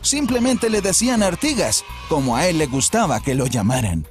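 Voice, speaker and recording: A man narrating a children's cartoon story in an expressive, storytelling way. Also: a little high, moderate pace, over music. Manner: expressive, storytelling delivery with repeated refrains.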